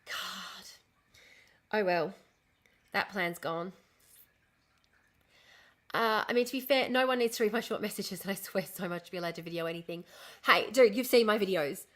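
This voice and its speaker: A young woman chatting on a casual live stream, ranting and swearing freely while her voice is going hoarse. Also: mid-range voice, normal speed, pauses often, a bit bright. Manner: ranting, lots of swearing and restarts. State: losing her voice, coughing. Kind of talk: casual live-stream chat reading comments.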